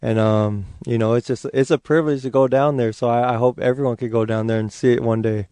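Easygoing young man with smooth voice. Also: medium pitch, quick pace, dry recording. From Hawaii. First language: Hawaiian. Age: 20s. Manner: relaxed, easygoing, many fillers. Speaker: football player guest. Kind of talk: casual interview chat.

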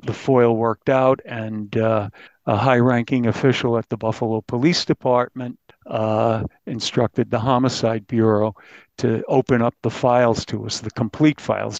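Slightly muffled dry audio, mature guy reporter being interviewed, talks measured and a bit halting. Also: medium pitch, no room sound. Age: middle-aged or older. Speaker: newspaper crime reporter. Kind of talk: interview answers. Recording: slightly dull, dry sound.

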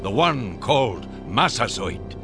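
An actor playing a grand, commanding Native chief who narrates in a stately, dramatic way in a radio drama. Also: male voice, fairly low pitch, very animated, slow pace, gravelly, over music, full character voice. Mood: grand narrating.

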